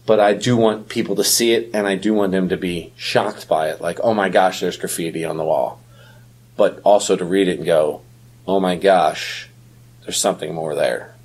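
A man with a husky voice in casual conversation as a podcast co-host. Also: low-pitched, steady tone, moderate pace, darkish tone. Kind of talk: casual chat.

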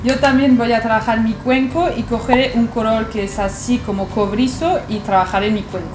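A woman's voice: on the high side, steady tone, normal speed, background noise. A young woman, a native French speaker with a French accent, chatting casually as a guest in a makeup video. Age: young adult. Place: small bright bedroom, indoors. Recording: echoey room with background music.

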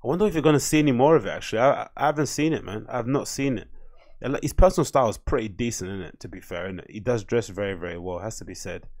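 A man's voice: lowish voice, quick pace, a little mellow. A man, hosting a casual solo podcast and rambling from a small home room into a desk mic. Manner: rambling, chatty, reads captions aloud in mock voices. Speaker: podcast host. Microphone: desk mic on boom arm.

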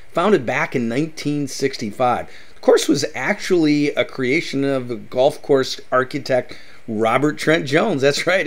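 Upbeat middle-aged man hosting travel tips. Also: medium pitch. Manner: upbeat, repeats "that's right". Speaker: travel vlog host. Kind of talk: enthusiastic travel guide narration.

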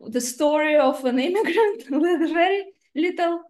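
A woman in her thirties with a Russian accent, speaking as a guest investor in a remote interview, with earnest, slightly halting phrasing. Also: high voice, darkish tone, slight background noise. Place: home office indoors. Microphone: laptop camera on video call. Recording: roomy video-call audio.

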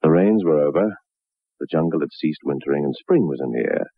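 Very deep, ominous radio horror narrator. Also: male voice, lively intonation, breathy edge, no echo, exaggerated voice. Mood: grave, ominous. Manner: measured, ominous storytelling. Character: grave male narrator of a macabre tale. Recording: muffled narrow-band old radio recording.

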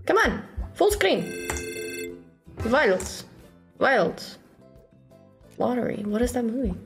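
A woman's voice: a little high, sing-song, slow pace, faint music behind. A young woman livestreaming a slot game, chatting casually in short repeated phrases and offhand asides. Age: young adult. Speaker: slot streamer.

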